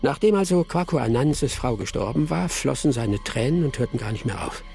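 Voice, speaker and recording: A man playing a wily, unhurried village storyteller in a radio drama, speaking in a deliberate, teasing way. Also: medium pitch, lively intonation, creaky, warm tone, soft background music. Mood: absorbed storytelling. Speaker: actor in a radio play.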